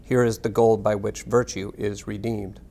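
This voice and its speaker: Man reading aloud in measured, formal tones. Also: on the low side, little pitch movement, dark tone. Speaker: reader. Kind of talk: scripted reading of narration and historical text.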